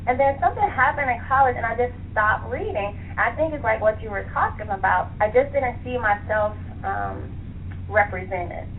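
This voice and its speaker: A woman with a fairly high voice talks as an interview guest. She is chatty and enthusiastic, speaking conversationally. The sound is thin like a phone call, with noticeable noise on the line. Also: normal speed. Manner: chatty, enthusiastic, laughs easily. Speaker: author being interviewed. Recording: tinny phone line with clear hiss.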